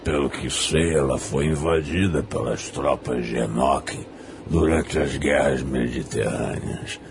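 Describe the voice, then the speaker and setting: An actor playing a small, repugnant old male demon, foul-mouthed, muddled and cheeky, with a gravelly, crude drawl in a dramatized fantasy audiobook. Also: extremely low pitch, noisy background, heavily acted. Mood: musing, evasive. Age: older. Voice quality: gravelly, with bouts of coughing. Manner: slow, crude, slangy drawl.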